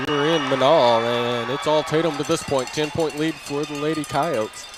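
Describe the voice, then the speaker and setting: A man's voice: a little high, steady tone, normal speed. A man doing live play-by-play basketball commentary in clipped, running phrases from a gym. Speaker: play-by-play announcer. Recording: noisy gym ambience, bouncing ball. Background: clear game noise and ball bounces.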